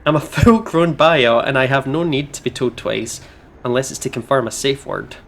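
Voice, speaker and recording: A man doing casual let's play commentary and reading narration aloud, expressive and playful in manner. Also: mid-range voice, moderate pace. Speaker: gaming streamer reading aloud.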